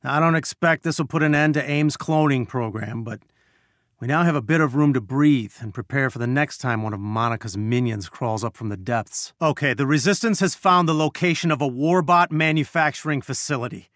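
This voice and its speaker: An actor playing an earnest, brainy scientist and weary resistance leader, giving articulate, measured mission briefings in a video game. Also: male voice, mid-range voice, a bit bright, no echo, clean studio sound, natural voice. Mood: grateful, resolute.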